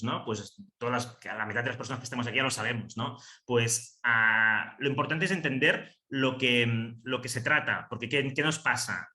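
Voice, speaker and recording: Hesitant man with a bright voice. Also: medium pitch, roomy sound. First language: Catalan. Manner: hesitant, many fillers and restarts. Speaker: seminar speaker on housing cooperatives. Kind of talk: online seminar presentation.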